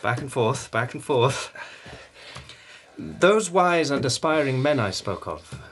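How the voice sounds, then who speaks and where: An actor playing a sardonic, menacing lord of a Japanese court in a radio drama, with formal, probing speech. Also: male voice, mid-range voice, very animated, slow, fairly bright tone, a little hiss or hum, full character voice. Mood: intense, musing. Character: self-possessed, menacing Japanese palace lord with sardonic temper.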